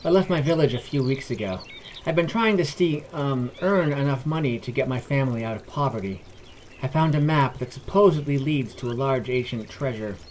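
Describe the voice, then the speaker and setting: A man's voice: mid-range voice, a little fast, slight background noise, lightly acted. A man voicing a terse, standoffish female dwarf thief in a fantasy audio story. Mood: guarded, blunt. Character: curt, guarded young female dwarf thief. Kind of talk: audio fiction character dialogue.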